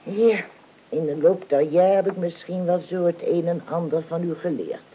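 An actress playing a stout, middle-aged housekeeper in a Dutch radio play, down-to-earth, dry and gently scolding. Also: female voice, lowish voice, moderate pace, close to an everyday voice. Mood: matter-of-fact. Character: stout, matter-of-fact, motherly female housekeeper, gently scolding. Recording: narrow, hissy old radio recording.

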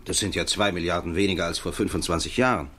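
An actor playing a courteous, concerned expert at a presidential meeting in a 1960s German radio drama, polite and formal. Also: male voice, low-pitched, steady tone, fast, fairly bright tone.

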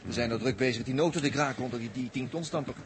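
A man's voice: medium pitch, rapid-fire, natural voice. A man acting a talkative, wry and impatient space traveller who needles his companion in a 1960s radio play. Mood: wry, reproachful. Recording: vintage radio recording with faint noise.

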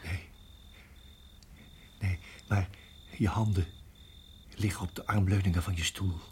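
A man acting in a radio play as a protective husband, speaking in a halting, urgent hush. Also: deep voice, fairly even intonation, a little hiss or hum. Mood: tense, hushed. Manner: hushed, halting, urgent whispering. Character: tense, protective husband guiding his endangered wife.

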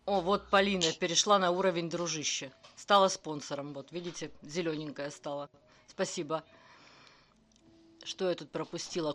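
A woman from southern Russia co-hosting a live stream, chatting casually and thanking viewers by name as she reads donations. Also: on the low side. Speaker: stream co-host reading donations.

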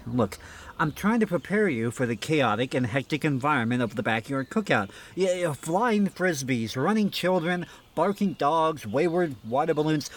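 Pompous grill-master host for comedy radio skit, played by a man: highish, very animated, exasperated instructor. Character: pompous, stubborn male backyard grill master. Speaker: show host. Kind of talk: scripted comedy skit in old-time radio show.

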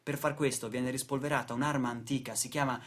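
A man's voice: medium pitch, fairly even intonation, fast, a bit bright. A man delivering a scripted radio theatre monologue in a solemn, rhetorical, declamatory manner. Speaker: stage actor and narrator. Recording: music playing behind the voice. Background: music.

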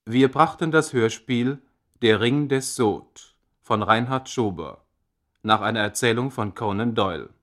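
A man's voice: medium pitch, measured pace, fairly bright tone. A man reading scripted radio drama credits as an announcer.

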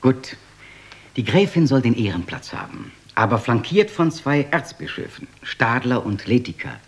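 An actor in a radio drama playing a fussy, gossipy protocol official with a precise, knowing manner. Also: male voice, mid-range voice, sing-song, moderate pace, natural voice. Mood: officious.

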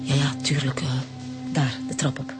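A woman's voice: very deep voice, little pitch movement, normal speed. A woman playing a chatty, flustered, loyal receptionist in a radio drama, with a Flemish accent. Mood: dismayed. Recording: music behind the voice.

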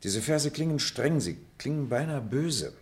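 An actor playing an eloquent, outspoken middle-aged former pupil who broods in a reflective, declamatory manner. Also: male voice, mid-range voice, expressive, a little slow, close to an everyday voice. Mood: musing. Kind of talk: German literary drama acting.

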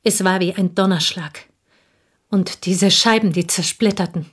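An actress in a German radio drama playing a reserved village schoolteacher and confidante, speaking in a restrained, measured, factual way. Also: female voice, on the low side, breathy edge. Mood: tense recounting.

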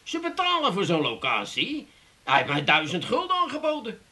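An actor playing an excitable, boastful schemer in a comic radio drama, blustering urgently. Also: male voice, high voice, normal speed, exaggerated voice. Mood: persuasive.

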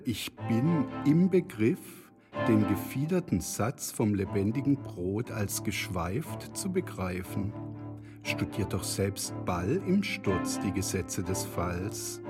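A man reciting dense poetry as a solemn reader in a literary radio play. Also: on the low side.

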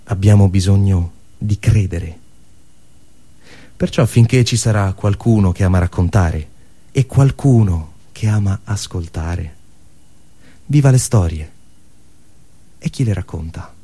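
A man delivering a theatrical storytelling monologue, posing rhetorical questions and using deliberate dramatic pauses. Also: sits low, lively intonation, mellow, slightly rough. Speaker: monologue performer.

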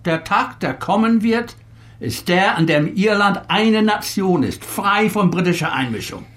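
A man's voice: sits high, expressive, a little slow, a bit bright. Older male Irish nationalist, proud, scornful of police, fervent radio-drama delivery. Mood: defiant. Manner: defiant, solemn. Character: old Irish republican man, defiant, proud, fervent. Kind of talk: radio crime drama acting.

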